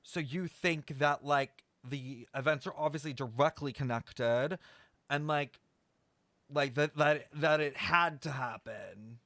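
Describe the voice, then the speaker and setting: A man's voice: fairly high pitch, slow, sharp tone, no echo. A man giving casual game stream commentary, rambling as he thinks aloud and swears freely.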